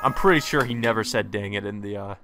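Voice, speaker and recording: A man's voice: on the low side, fast, pushed and bright, a little hiss or hum. A man reading a visual novel aloud as a let's play host, mixing narration with casual commentary. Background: game music.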